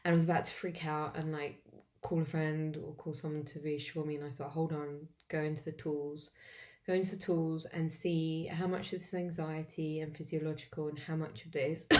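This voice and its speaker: An English woman who is wheezy and coughing, talking in a halting way with many fillers as she walks through a self-help tapping routine alone at home. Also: low-pitched, fairly even intonation, fairly bright tone. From England. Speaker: vlogger demonstrating tapping. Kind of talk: casual self-help walkthrough.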